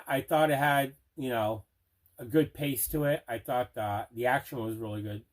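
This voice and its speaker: A man's voice: medium pitch, moderate pace. A man hosting a casual unboxing chat, talking with plain, repetitive enthusiasm about items in a collection. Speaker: host of a movie collection unboxing show.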